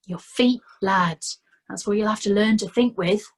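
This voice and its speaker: A woman voicing a shrewd male watch commander who speaks briskly with dry, mock cheerfulness. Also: medium pitch, lightly acted. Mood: wry.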